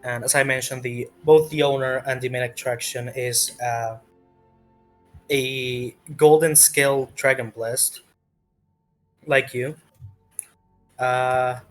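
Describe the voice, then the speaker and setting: A man's voice: mid-range voice, fairly even intonation, slow pace, long pauses, soft background music. A man narrating a tabletop game as game master in a casual, improvised way, often searching for words.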